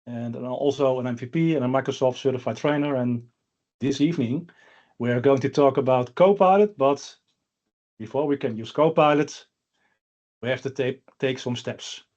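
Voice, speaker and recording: A man from the Netherlands hosts an online panel and asks plain, steady questions. His voice sits at a middle pitch. The audio is slightly muffled. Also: normal speed. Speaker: host and consultant.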